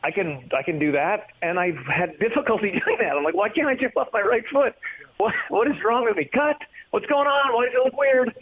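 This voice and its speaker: A man's voice: high voice, animated, fairly quick, a bit bright, slightly rough, rough recording. A man chatting casually as an interview guest, joking at his own expense and acting out his anecdotes. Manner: self-deprecating, joking, acts out his stories. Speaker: comedian and actor, interview guest. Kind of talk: animated anecdote-telling in casual radio interview.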